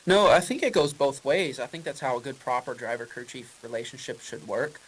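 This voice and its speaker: A man's voice: mid-range voice, brisk, breathy edge, low-quality recording. A man, a race car driver, answering press questions in a casual, rambling way with many fillers and restarts.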